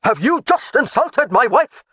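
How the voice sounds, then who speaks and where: The audiobook narrator voicing a gruff old male Highland chieftain who roars every word. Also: normal speed, dry recording, studio quality, natural voice. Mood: roaring. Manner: roaring, shouting every word.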